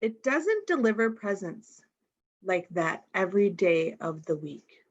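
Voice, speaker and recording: Female storytime reader for small kids: slow, expressive, direct address, middling pitch, somewhat muffled lo-fi recording. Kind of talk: picture book read-aloud for young children.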